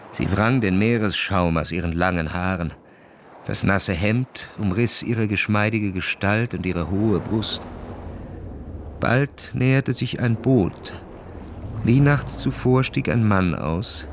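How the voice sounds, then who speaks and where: Low-voiced grave literary storyteller. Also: male voice, slight background noise. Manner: measured, dramatic storytelling. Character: male officer recounting his adventure, literary and grave. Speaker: narrator. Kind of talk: literary radio drama narration.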